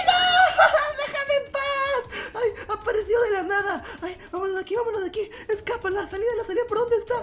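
A woman voicing a jumpy, easily frightened young character who squeals and cries out constantly, then speaks to viewers at the end. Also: extremely high pitch. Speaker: channel character addressing viewers. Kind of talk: comic horror skit.

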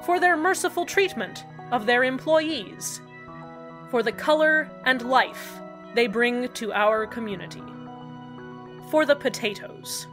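Lady playing a sincere small-town radio guy signing off, bit wry, pitched kinda high. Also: measured pace, a bit bright, faint music behind. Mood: earnest. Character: earnest small-town male radio host. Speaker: radio host figure. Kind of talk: fan audio drama acting.

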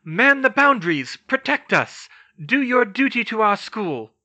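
Man playing a strict elderly headmistress type, crisp commands, lively high delivery. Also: fairly quick, no room sound, muffled recording. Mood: commanding shout. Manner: commanding, clipped. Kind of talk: audiobook character voice.

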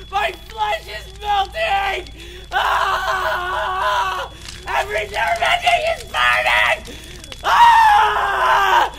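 A man's voice: extremely high pitch, vocal fry, heavily acted, rough recording. An actor playing an indignant, panicky man with a melodramatic, exclamatory delivery in a comedy audio drama. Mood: screaming panic. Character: indignant, panicky man, a righteous customer prone to screaming.

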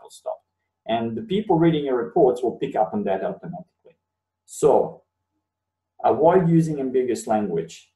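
A man in his thirties whose first language is Bosnian, giving a webinar lecture on writing and explaining points with steady emphasis. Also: mid-range voice. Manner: explanatory, repeats words for emphasis. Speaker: university lecturer. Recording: roomy sound, mic at a distance.

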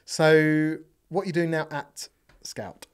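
A young man hosting a podcast, chatting casually and jokily into a boom mic. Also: fairly even intonation, clean tone. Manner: upbeat, jokey, quick asides. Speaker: podcast host. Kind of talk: casual interview chat. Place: podcast studio with brick wall. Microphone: boom mic on arm.

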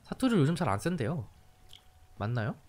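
A man's voice: medium pitch, big pitch swings, a little fast, a little mellow, soft background music. A young man casually commenting while playing a cozy life game, playful and reactive, chatting to his viewers. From standard-Korean-speaking region of Korea. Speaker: game streamer.

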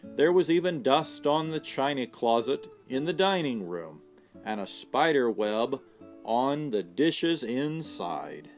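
A man reads a children's picture book aloud at a slow pace. His pitch rises and falls expressively, and soft guitar music plays behind him. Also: sing-song. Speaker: storyteller. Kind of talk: children's storybook read-aloud.

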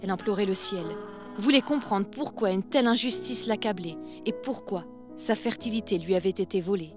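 Woman, solemn narration, literary storytelling, dark folk satire, medium pitch, background music. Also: brisk.

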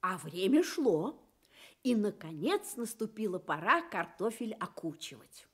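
Slow, animated woman storyteller. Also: medium pitch, breathy edge. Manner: expressive, measured storytelling. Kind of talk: scripted children's radio story narration.

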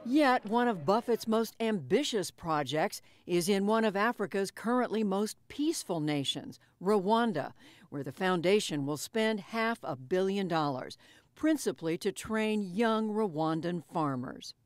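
News narrator lady, steady scripted read. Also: mid-range voice, unhurried, no room sound.

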